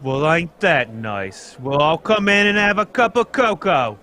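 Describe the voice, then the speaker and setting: A man's voice: a little high, lively intonation, measured pace, bright, forceful tone. A man playing a cheeky, menacing working-class London street loafer in a radio drama, mocking and slangy. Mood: mocking, threatening. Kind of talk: old-time radio drama script read live.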